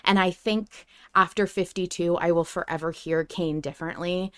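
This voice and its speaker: Female podcast co-host, lowish smooth voice, emphatic and enthusiastic in casual fan discussion, close dry sound. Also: fairly even intonation, normal speed, dry recording. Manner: effusive, emphatic.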